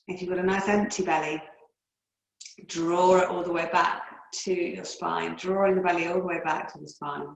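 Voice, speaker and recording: Calm woman guiding relaxation in an echoey room. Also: lowish voice, vocal fry. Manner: calm, soothing, unhurried with long pauses. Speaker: yoga teacher. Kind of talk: guided relaxation and breathing instruction. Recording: roomy, echoey indoor sound.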